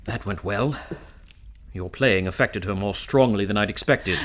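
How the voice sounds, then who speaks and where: An actor playing a suave, formal gentleman in a period audio drama, quietly sly and assured with a wry manner. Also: fairly low pitch, unhurried.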